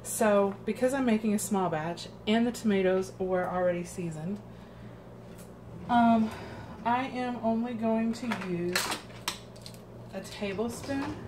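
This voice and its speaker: A woman's voice: mid-range voice, measured pace. A woman giving a casual home cooking demonstration, thinking aloud hesitantly as she works. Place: home kitchen, indoors. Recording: noisy home kitchen recording.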